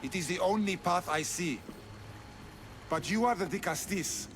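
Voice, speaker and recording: A high-voiced, embittered noble villain. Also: male voice, moderate pace, music in the background. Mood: insistent. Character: embittered, cold, human-hating noble of an ancient race. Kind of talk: dramatic video game voice acting.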